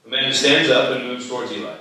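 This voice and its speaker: A man with a bright, forceful, mid-pitched voice narrates a screenplay from the script. The room is very echoey and he is a little away from the mic. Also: fairly even intonation, fast, recorded from a distance. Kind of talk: scripted stage-direction reading at live table read.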